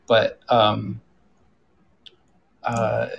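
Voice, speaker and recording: A man's voice: fairly low pitch, monotone, drawn-out words, creaky, dull audio. A man moderating a casual panel discussion, talking in a self-deprecating way and repeating himself for emphasis. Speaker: panel moderator, audio drama creator.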